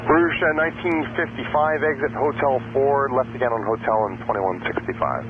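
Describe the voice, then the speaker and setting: A male air traffic controller giving procedural instructions over aircraft radio, pausing as he picks his words. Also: medium pitch. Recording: tinny, very noisy aviation radio. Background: aircraft hum and radio static.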